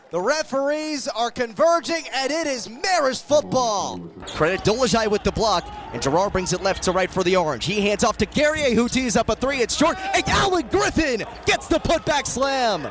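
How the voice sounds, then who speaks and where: A man doing radio play-by-play sports commentary in clipped running descriptions, ready to burst into excited calls. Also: very high voice.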